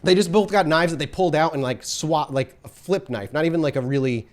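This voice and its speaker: A man's voice: on the high side, brisk. A man running a tabletop horror game as storyteller, narrating the action vividly and explaining the rules patiently to his players.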